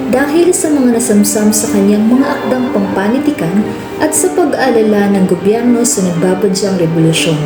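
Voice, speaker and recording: A woman's voice: medium pitch, natural voice. A woman playing a teacher who narrates a scripted story in an amateur radio drama. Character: female history teacher narrating a hero's story. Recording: echoey room, music behind.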